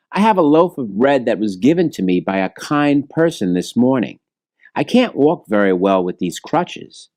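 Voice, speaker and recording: A man voicing a kindly, poor beggar who pleads gently and earnestly in a children's storybook. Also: mid-range voice, dry recording, close to an everyday voice. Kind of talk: children's storybook read-aloud.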